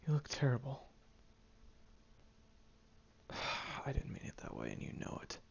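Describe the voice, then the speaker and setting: A man voicing a grumpy tsundere teenage boy who is gruff but caring, grumbling, sighing and muttering half-whispered asides in an audio roleplay. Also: mid-range voice, wildly swooping pitch, a little slow, dull audio, exaggerated voice. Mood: gruff concern.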